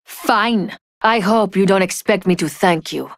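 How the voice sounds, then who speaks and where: A woman's voice: mid-range voice, measured pace, no echo, studio quality, close to an everyday voice. A woman voicing a proud, vengeful young thief in a video game, with a cutting, disdainful manner. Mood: scornful, bitter. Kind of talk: video game character voice lines.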